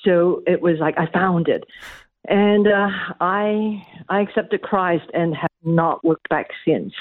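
A woman's voice: on the low side, takes it slowly, dry recording. A middle-aged woman from Malaysia, an academic guest answering interview questions, hesitant with many restarts. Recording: tinny phone line.

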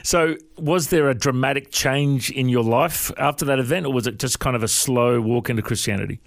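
A man's voice: medium pitch, fairly quick, dry recording, studio quality. A man with an Australian accent, hosting a casual interview. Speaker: interview show host.

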